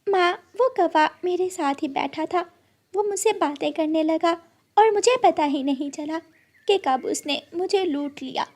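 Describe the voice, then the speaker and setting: A woman voicing a gentle, anxious mother bird in a children's cartoon, motherly and plaintive. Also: very high-pitched, measured pace, pitched above the performer's own voice. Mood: distressed.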